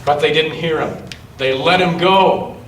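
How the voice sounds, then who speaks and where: A man reading a children's story aloud with expression, in measured phrases and with chatty asides to young listeners. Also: a little high, fairly bright tone, slight background noise. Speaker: storyteller at a church gathering. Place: large indoor church room. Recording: roomy church recording, distant mic.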